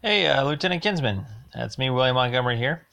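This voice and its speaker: A man leaving a rambling voicemail complaint, speaking in a halting, insistent and emphatic way. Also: mid-range voice, animated, gravelly, sharp tone.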